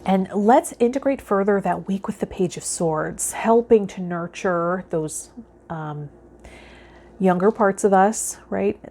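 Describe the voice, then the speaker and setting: A woman hosting a spiritual vlog, explaining in a measured, reflective, encouraging way, like a calm guide. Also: medium pitch. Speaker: host of a tarot vlog. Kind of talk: calm guided explanation, part read, part informal.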